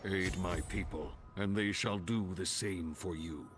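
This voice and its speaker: A man's voice: deep voice, measured pace, a little hiss or hum. A man voicing a stern, proud ancient chieftain's spirit in a fantasy game, speaking in grave, formal oratory. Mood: solemn, instructing. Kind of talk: scripted fantasy game voice acting.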